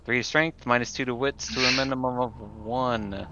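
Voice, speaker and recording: A man playing a tabletop roleplaying game, talking casually in a hesitant, halting way. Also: mid-range voice, fairly even intonation, lo-fi sound, background noise.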